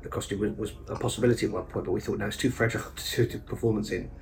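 A man's voice: fairly low pitch, fairly even intonation, very fast, darkish tone, a little hiss or hum, poor audio. A man, a stage actor and director, talking in a casual, enthusiastic, rambling way as a podcast guest. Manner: enthusiastic, rambling, self-interrupting with fillers. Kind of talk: casual conversational interview.

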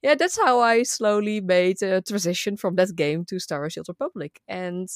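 A woman's voice: mid-range voice, normal speed, dry recording. A young woman talks casually as an interview guest. She is chatty and loose, often restarting her thoughts, and her voice is recorded dry with little room sound. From Netherlands. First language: Dutch. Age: young adult. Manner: chatty, rambling with repeated "like". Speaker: guest, gaming content creator. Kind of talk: casual chat.

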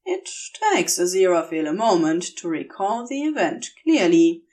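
A woman reading fiction aloud as a scripted, expressive story narration. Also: lowish voice, moderate pace. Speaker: audio fiction reader.

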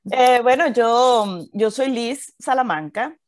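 A woman's voice: fairly high pitch, slow pace, no echo. A Venezuelan woman in her thirties co-hosting a podcast, chatting casually with many fillers and restarts. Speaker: podcast co-host.

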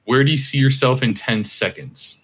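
A man's voice: medium pitch, normal speed, a bit bright, breathy edge. A man on a group call, joking and teasing the others. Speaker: music producer on a group call.

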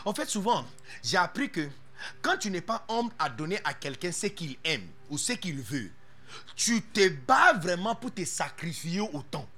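A man preaching a sermon as pastor, animated and playful, dropping English interjections into his talk. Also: sits high, unhurried.

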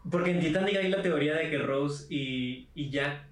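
A man co-hosting a podcast, chiming in with quick jokes and short replies in casual chat. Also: on the high side. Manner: jokey interjections. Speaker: podcast co-host. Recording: echoey, a bit off-mic.

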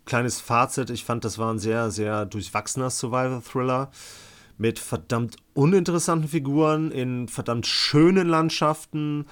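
A man's voice: mid-range voice, normal speed. A man giving a casual, colloquial film review as a podcast co-host.

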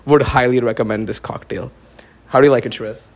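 A young man hosting his own podcast, talking quickly and loosely. He fills his sentences with "like" and restarts, in a relaxed chat with a friend. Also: medium pitch. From born in the US, raised in India. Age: young adult. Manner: fast, filler-heavy talk with many "like"s. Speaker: podcast host.

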